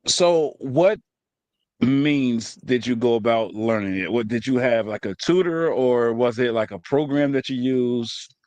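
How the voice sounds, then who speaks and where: A man's voice: medium pitch, measured pace, a little mellow, dry recording. A man hosting a casual interview, asking easygoing questions and answering with short acknowledgments. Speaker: host.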